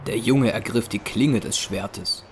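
A man's voice: on the low side, measured pace, noisy background. A man narrating an audio drama in a scripted, dramatic storytelling style. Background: music.

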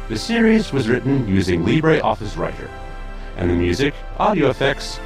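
A man reading scripted end credits as the narrator of an audio series. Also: on the low side.

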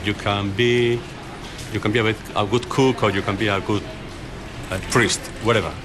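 A man's voice: lowish voice, fairly even intonation, normal speed, a crowd in the background. A middle-aged man from the Basque Country, a native Basque speaker, talking in a newsroom in emphatic, repetitive lists and searching for words in English. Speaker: Basque newspaper figure. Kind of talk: interview in English. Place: busy newsroom office.

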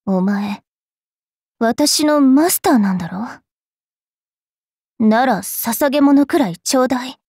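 A woman playing a haughty, mischievous young vampire fairy priestess in an anime game, brash and teasing in a rough-spoken way. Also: a little high, lively intonation, slow, long pauses, a little mellow, dry recording, clean studio sound, full character voice. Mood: demanding, aloof. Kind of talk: anime game character voice lines.

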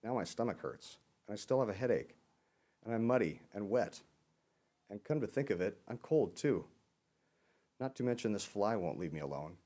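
A man's voice: lowish voice, lively intonation, normal speed, long pauses, dark tone. A man voicing a grumpy, complaining young monkey from a children's storybook. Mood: exasperated, complaining. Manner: grumbling.

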